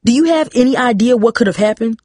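A woman voicing a stern, protective, angry father in an audiobook story, scolding forcefully. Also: fairly low pitch, fast, no echo, studio quality, lightly acted. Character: furious, protective father confronting daughter and boy.

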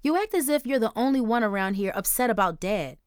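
A woman's voice: fairly high pitch, sing-song, moderate pace, no room sound, studio quality, voice pushed up. A woman voicing an upset, defensive fourteen-year-old girl who pleads and then lashes out angrily. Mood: angry. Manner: pleading, then angry and blurting.

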